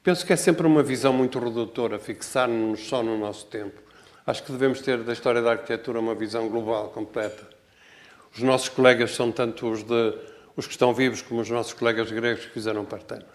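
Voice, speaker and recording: Older man, Portuguese accent, Portuguese native speaker, halting public talk, self-correcting, wry, middle-pitched voice. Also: fairly even intonation. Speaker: guest architect speaker. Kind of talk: public talk, reading a prepared text. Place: lecture hall.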